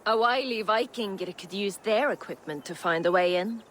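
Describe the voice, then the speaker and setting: A woman's voice: mid-range voice, normal speed, a bit bright, a little hiss or hum, natural voice. An actress playing a wry scout in a game cutscene, dry and knowing in her advice. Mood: amused. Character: wry woman scout near a castle. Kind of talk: video game cutscene acting.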